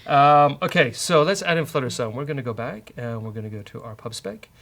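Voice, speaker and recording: A man with an Irish accent hosting a casual live-coding demo, dry, teasing and halting. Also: mid-range voice, animated, fairly bright tone. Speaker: host, software developer.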